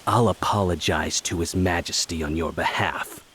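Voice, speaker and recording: A man's voice: low voice, moderate pace, fairly bright tone, slight background noise. A man playing an arrogant, ruthless army captain in a video game, cold and scornful. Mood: cold menace. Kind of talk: video game cutscene acting.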